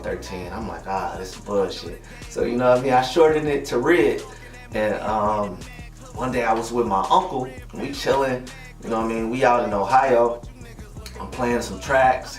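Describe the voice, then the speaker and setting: A young man talking casually in an interview, slangy and laid-back, often asking "you know what I mean.". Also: medium pitch. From Detroit, USA. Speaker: interviewed rapper. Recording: roomy, distant mic, music behind.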